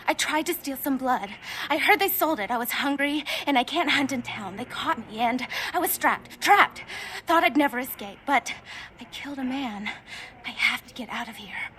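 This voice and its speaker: An actress playing a distraught, hungry, guilt-ridden young female vampire in a video game, with breathy, halting, anguished delivery. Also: high voice, animated, bright, forceful tone, a little hiss or hum. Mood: shaken.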